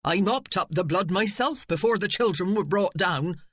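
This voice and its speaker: Casting: narrator doing brawling old housekeeper, gruff and loyal. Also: medium pitch, lively intonation, moderate pace, a bit bright, no room sound, clean studio sound. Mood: fierce. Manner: rough, combative, plain-spoken. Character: fierce, blunt old housekeeper woman.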